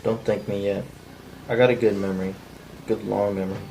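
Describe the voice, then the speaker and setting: A man reading the part of a menacing, bullying man in a flat, unpolished script read, with a gravelly voice. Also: fairly low pitch, fairly quick, low-quality recording. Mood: threatening. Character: menacing, bullying man demanding car keys. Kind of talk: cold table read of a film script. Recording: faint background noise.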